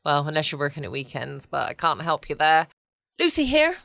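A woman's voice: low voice, sing-song, brisk, creaky, fairly bright tone, no echo, studio quality. A middle-aged woman hosting a casual, rambling bedtime podcast. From United Kingdom. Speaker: podcast host. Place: home studio, dry close sound.

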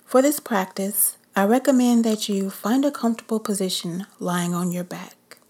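A woman whispering softly as she leads a guided meditation. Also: mid-range voice, breathy edge. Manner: whispered, slow and soothing.

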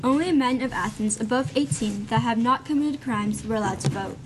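Clear noise runs behind a young woman's somewhat high voice. She delivers scripted narration for a student radio play. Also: moderate pace. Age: young adult. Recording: clearly noisy amateur recording.

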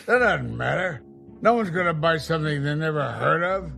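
An actor as a gruff, gravelly store buyer. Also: male voice, a little high, lively intonation, normal speed, pushed and bright, faint music behind, exaggerated voice. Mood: dismissive. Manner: blunt, challenging. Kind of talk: audio drama acting.

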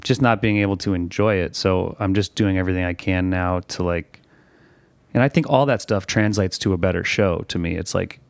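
A man, a touring songwriter, talking casually on a podcast in a relaxed, rambling way. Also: low-pitched, fairly quick, creaky, dry recording. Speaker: touring musician and songwriter guest.

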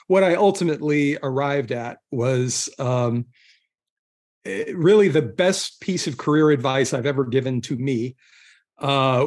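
Man haltingly telling casual stories. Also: mid-range voice. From southern California. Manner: halting, self-interrupting, groping for words. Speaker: guest author. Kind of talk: casual conversational storytelling.

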